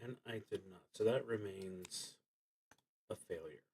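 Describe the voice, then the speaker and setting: A man streaming a solo tabletop game, thinking aloud in a halting, deliberate way and often trailing off. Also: fairly low pitch, a little mellow, husky. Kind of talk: thinking aloud while playing a solo card and dice game.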